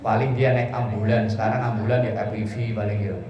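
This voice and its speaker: A man's voice: lowish voice, level tone, a little fast, lo-fi sound, a crowd in the background. Man, casual religious teacher, conversational asides, strong room echo, audience murmur. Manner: conversational, joking, explains phrase by phrase with colloquial asides. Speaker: Islamic preacher. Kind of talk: informal sermon explaining Quran verses. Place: echoey room with a listening congregation. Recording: echoey hall, distant mic, audience murmur.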